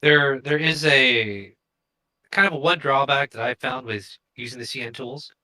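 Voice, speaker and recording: Very bright, sharp-voiced man. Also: mid-range voice, measured pace, poor audio. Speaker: co-host of a crypto show. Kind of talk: casual explanatory livestream talk.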